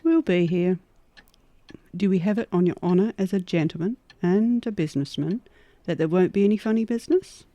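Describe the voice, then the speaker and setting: A woman's voice: fairly low pitch, a little fast, dark tone, dry recording, close to an everyday voice. A woman playing a bold, fierce werewolf prospecting in the Old West in a horror audio drama, confident and wry. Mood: confident, polite.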